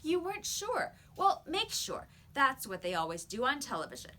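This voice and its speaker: A woman voicing an excitable, insistent schoolgirl in a children's storybook, with emphatic, pushy delivery. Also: high voice, moderate pace, pitched above the performer's own voice, exaggerated voice.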